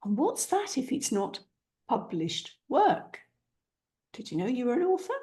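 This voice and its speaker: A woman's voice: sits high, sing-song, a little slow, warm tone. A woman hosting a podcast, chatty and encouraging, with playful asides to listeners. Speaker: podcast host. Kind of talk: upbeat podcast intro.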